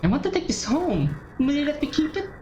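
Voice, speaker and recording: Woman acting an unstable lovesick teenage girl, wildly swinging pitch. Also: sing-song, fairly quick, darkish tone, dull audio, slight background noise. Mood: swooning, obsessed. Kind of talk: dramatic fan-fiction reading. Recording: roomy echo with faint music behind.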